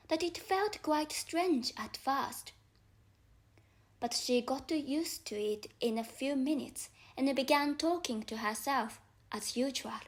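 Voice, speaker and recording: A woman with a non-native English accent reading a children's storybook aloud as an audiobook narrator. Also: sits high.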